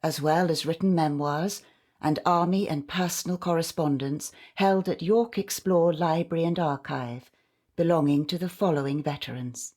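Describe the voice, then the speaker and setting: A woman's voice: deep voice, moderate pace, a little mellow. A woman narrating a scripted introduction to an audio play as its announcer.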